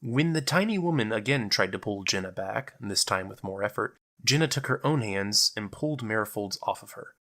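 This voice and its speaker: A man's voice: fairly low pitch, expressive, a little fast, few pauses, fairly bright tone. A man narrating a scripted dramatic story as its storyteller.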